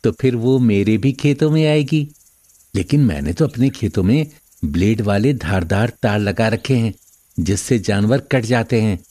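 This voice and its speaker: Greedy, mellow-voiced pushy neighbour, acted by a man. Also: on the low side, unhurried. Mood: envious, eager. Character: greedy, envious village neighbour. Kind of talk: animated moral story dialogue in Hindi.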